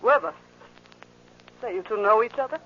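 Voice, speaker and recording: An actress playing a brassy, jealous barroom woman, loud, slangy and wisecracking, in a 1940s radio drama. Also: fairly high pitch, animated, normal speed, close to an everyday voice. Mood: brassy, suspicious. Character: brassy, jealous, sharp-tongued woman who runs a bar. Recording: muffled old radio recording, faint noise.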